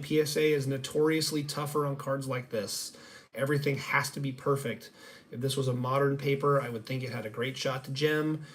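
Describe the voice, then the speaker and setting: A man with a slightly nasal voice, hosting a casual live-stream card reveal in a conversational, self-deprecating way. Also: mid-range voice. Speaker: sports card grading reveal host. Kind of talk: casual live-stream commentary on trading cards. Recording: noticeable steady background noise.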